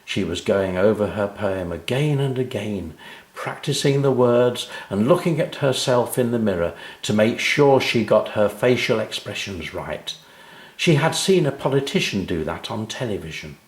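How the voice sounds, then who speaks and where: A man's voice: on the low side, animated, moderate pace, roomy sound. A man narrating a children's story expressively, speaking directly to young listeners. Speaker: author and narrator.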